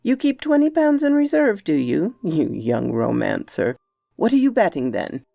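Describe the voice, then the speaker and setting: A woman's voice: deep voice, huge pitch swings, a little slow, gravelly, darkish tone, dry recording, exaggerated voice. A woman voicing a jovial, indulgent grown uncle with a teasing, hearty manner in a storybook reading. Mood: amused, teasing.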